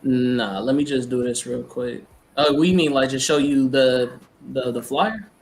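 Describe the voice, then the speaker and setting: A man hosts a casual group video chat with loose, playful, slangy talk. His pitch is mid-range for a man. The call carries clear background noise. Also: unhurried. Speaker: host of an online debate tournament. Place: multi-person video call.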